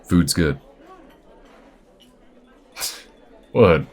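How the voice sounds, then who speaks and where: A man voicing an easygoing, wry alien bounty hunter with folksy, unhurried phrasing in an audio roleplay. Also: low voice, expressive, a little mellow, heavily acted. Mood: flustered, teasing. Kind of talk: audio roleplay addressed to the listener. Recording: restaurant chatter behind. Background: restaurant crowd ambience.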